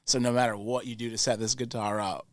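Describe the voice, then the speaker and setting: Male studio engineer as interview guest, mid-pitched, enthusiastic, rambling and sweary, very dry sound. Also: little pitch movement, quick pace, no room sound. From New Orleans, Louisiana. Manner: rambling, enthusiastic, casually profane. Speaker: podcast guest, recording engineer and producer. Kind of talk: casual interview chat.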